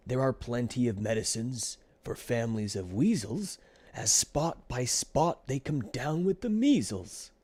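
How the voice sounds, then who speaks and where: A man's voice: mid-range voice, darkish tone. A man voicing a selfish, scheming flea from a children's storybook, melodramatic, whiny and boastful. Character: selfish, scheming male flea feigning illness.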